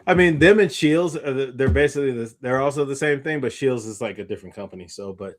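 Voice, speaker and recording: A man's voice: medium pitch, fast talker. A tired man hosting a podcast, chatting casually in a rambling way and often backtracking mid-sentence. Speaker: podcast host. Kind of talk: casual chat.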